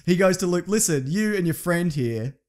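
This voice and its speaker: A man's voice: high voice, lively intonation, normal speed, darkish tone. A man with an Australian accent, co-hosting and retelling a story in casual, rambling, jokey chat with acted-out dialogue. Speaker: podcast co-host retelling a story.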